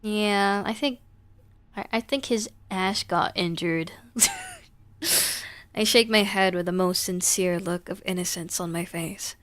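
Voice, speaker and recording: A woman's voice: mid-range voice, fairly even intonation, measured pace, long pauses, a bit bright. A woman livestreaming a game, switching between casual, playful chat and reading the game's text aloud. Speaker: streamer playing and reading aloud a horror game. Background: music.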